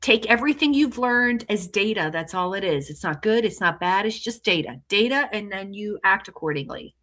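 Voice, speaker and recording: A woman's voice: mid-range voice, expressive, normal speed, a bit bright. A woman in her sixties co-hosting a casual online chat, upbeat and motivational. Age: 60s. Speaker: co-host.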